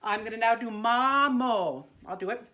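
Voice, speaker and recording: A woman teaching an online singing lesson in a gentle, chatty way, her voice a little congested from allergies. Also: a little high, normal speed. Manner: gentle, chatty, demonstrating vocal sounds.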